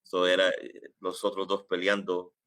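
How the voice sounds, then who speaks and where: A middle-aged man from New York telling his story as an interview guest, halting often and dropping filler phrases in. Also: low voice, monotone, fairly bright tone. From United States, New York. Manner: halting, sprinkles English filler phrases into Spanish. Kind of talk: casual personal storytelling in an interview.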